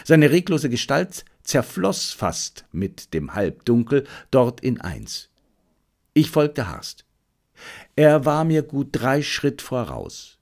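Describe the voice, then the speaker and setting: Male audiobook narrator, mid-pitched, highly animated, dramatic and suspenseful. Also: slow pace. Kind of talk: scripted narration of a detective adventure.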